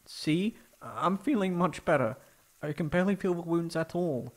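A man playing a prickly young catboy in an ASMR roleplay, haughty but secretly soft-hearted and stammering when flustered. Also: on the high side, animated, moderate pace, natural voice. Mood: defensive, earnest.

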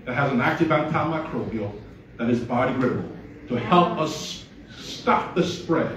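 A man's voice: medium pitch, expressive, normal speed, fairly bright tone, a little hiss or hum. A middle-aged man with a Nigerian accent, telling his story in an earnest, inspirational speech on a handheld mic at an awards event. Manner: storytelling, earnest, rising to emphatic peaks. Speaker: award honoree giving a speech. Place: large hall at an awards event, red carpet. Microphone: handheld mic, filmed by a phone from the audience. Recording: echoey hall, far from the phone.

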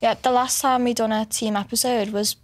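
A woman's voice: on the high side, fairly even intonation, fairly quick, over loud music. A woman in her twenties hosting a casual podcast chat, talking freely and laughing often. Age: 20s. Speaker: podcast host.

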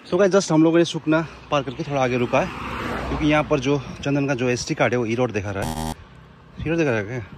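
Young male moto-vlogger, mid-pitched, chatty tour-guide read, outdoor camera sound, music bed under. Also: lively intonation, fast talker. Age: young adult. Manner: chatty tour-guide tone, keeps calling out to viewers. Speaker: motorcycle travel vlogger. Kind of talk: casual travel vlog narration. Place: outdoors on hill roads and at a roadside cafe. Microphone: helmet camera and handheld selfie camera. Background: background music and passing vehicles.

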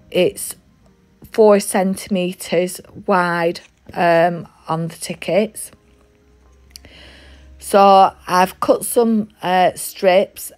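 A woman with a head cold and a slightly croaky voice, walking through a craft demonstration step by step with frequent ums. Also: fairly low pitch, darkish tone. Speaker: craft tutorial host. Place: craft desk seen from above.

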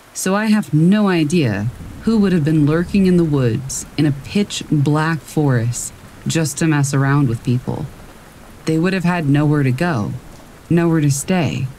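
A woman calmly reading true stories aloud as a narrator. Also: low-pitched, low-quality recording. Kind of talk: calm scripted narration. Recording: faint rain noise behind. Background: rain.

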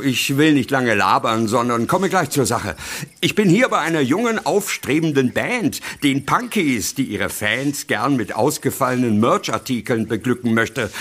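An actor playing a cheery, relaxed middle-aged organic-farmer dad in a German audio drama. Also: male voice, medium pitch. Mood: chummy.

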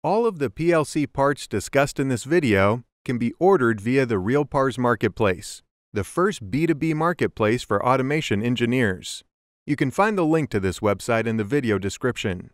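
A man giving scripted instructional narration, explaining methodically and clearly as in a technical tutorial. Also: medium pitch, lively intonation, normal speed, dry recording, clean studio sound. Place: close, dry voice-over recording.

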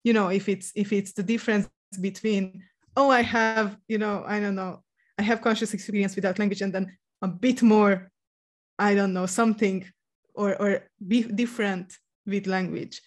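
A woman's voice: mid-range voice, fairly even intonation, normal speed. A woman in a headset on a video call, thinking aloud about research with many fillers. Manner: thinking aloud, many "you know" and "I don't know". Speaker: podcast co-host. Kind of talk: academic discussion over a video call.

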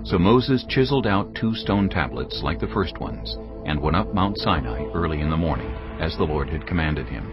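A man narrating a dramatized audio Bible in a steady storytelling delivery. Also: deep voice, moderate pace, few pauses. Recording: slightly dull audio with music bed.

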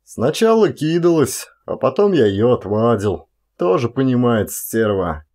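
A man's voice: mid-range voice, big pitch swings, a little slow, a little mellow. A man voicing a staid, hospitable rustic forest warden with folksy, sedate speech in an audiobook. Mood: folksy, pleased.

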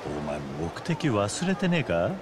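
An actor playing a gruff, quietly kind middle-aged man in a video game, terse and understated. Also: mid-range voice, huge pitch swings, measured pace, background noise. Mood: dry. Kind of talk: Japanese video game voice acting.

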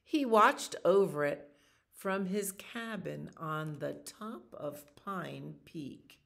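A woman in her sixties reads a picture book aloud for children, pausing for casual asides that explain the pictures in an unhurried manner. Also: fairly low pitch, expressive, vocal fry, a bit bright. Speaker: children's storytime reader. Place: small library children's room.

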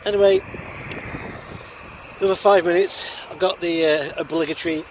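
A man in his forties talking casually and haltingly in an outdoor vlog. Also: fairly high pitch, fairly even intonation. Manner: halting, hesitant delivery. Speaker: amateur radio vlogger. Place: outdoors on an open hillside. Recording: noisy outdoor ambience.

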